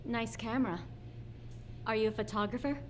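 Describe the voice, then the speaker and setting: A woman's voice: on the high side, fairly even intonation, normal speed, slight background noise, natural voice. A woman playing a polite, guarded young agent, courteous and matter-of-fact, in a video game cutscene. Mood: friendly, curious.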